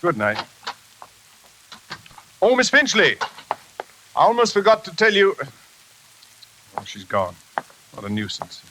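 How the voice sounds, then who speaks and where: An actor playing a polite, hesitant yet probing psychiatrist in a 1940s American radio drama. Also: male voice, sits high, huge pitch swings, slow pace, long pauses, sharp tone, exaggerated voice. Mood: mildly annoyed. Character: flustered but probing male psychiatrist. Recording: crackly, hissy vintage radio recording.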